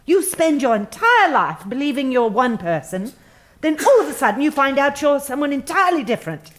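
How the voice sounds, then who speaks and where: An actress playing a smug, self-righteous human resources head in a satirical audio comedy, speaking earnest, patronizing corporate jargon. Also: female voice, high-pitched, very animated, fairly quick. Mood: smoothly patronizing.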